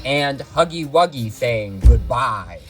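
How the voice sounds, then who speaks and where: A man's voice: mid-range voice, animated, takes it slowly, over loud music. A man narrating a behind-the-scenes look at his own videos as their creator and host.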